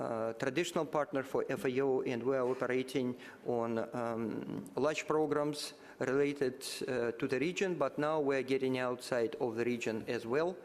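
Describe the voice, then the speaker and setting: Russian-native man in a reverberant hall. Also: medium pitch. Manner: halting, many filler sounds and restarts, measured. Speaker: panel speaker at a conference side event. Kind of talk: formal conference remarks. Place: large conference hall. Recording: hall reverb with faint ambience.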